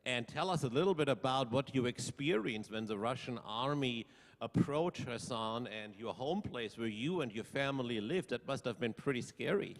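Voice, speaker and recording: A man's voice: medium pitch, animated, moderate pace, big-room sound. A man interviewing a guest at church, asking leading questions and summing up her answers.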